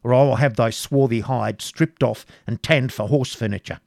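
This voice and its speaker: A man's voice: medium pitch, moderate pace, vocal fry, a little mellow, dry recording, studio quality, natural voice. Man playing an imperious, fiery medieval prince. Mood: angry command. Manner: commanding, archaic speech.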